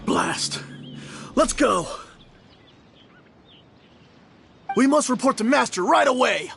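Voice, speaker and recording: A man playing a rough, loyal henchman in an anime-style game, blustering and shouting in a gravelly, strained voice. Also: very high voice, lively intonation, a little slow, soft background music, full character voice. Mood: panicked. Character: rough, loyal henchman guard, defiant and panicky.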